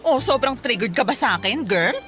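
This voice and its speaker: A boastful, catty young Filipino woman from a radio drama. Her high voice swings animatedly as she taunts haughtily, with faint music underneath. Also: expressive, brisk, a bit bright. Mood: smug. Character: catty, boastful young female rival vendor. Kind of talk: Tagalog radio drama acting.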